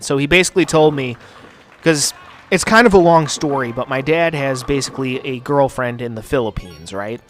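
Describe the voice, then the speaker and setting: A man's voice: medium pitch, a bit bright. A young man from the United States, hosting a gaming channel and rambling casually with plenty of swearing and filler words. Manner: profane, rambling, filler-heavy. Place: home basement studio.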